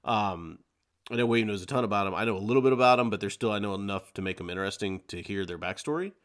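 A man with a fairly low voice talks very quickly as a podcast co-host. He chats casually and in an animated way, often correcting himself mid-thought. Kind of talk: casual chat reviewing an audio drama.

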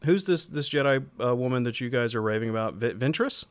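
A man's voice: medium pitch, animated, brisk, a crowd in the background. A man co-hosting a podcast, giving blunt, opinionated views in casual conversation. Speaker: podcast co-host. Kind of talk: casual chat reviewing an audio drama.

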